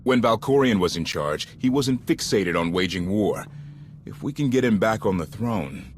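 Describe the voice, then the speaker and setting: An actor playing a hot-headed, distrustful young loyalist rebel in a video game, confrontational and sarcastic. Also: male voice, on the low side, animated, moderate pace, slight background noise, natural voice. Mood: defiant. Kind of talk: dramatic video game acting.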